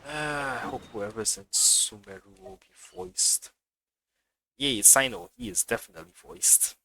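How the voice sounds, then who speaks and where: A man's voice: mid-range voice, lively intonation, unhurried, gravelly, sharp tone, low-quality recording. A man giving casual live commentary as he streams a game playthrough. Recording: game music behind.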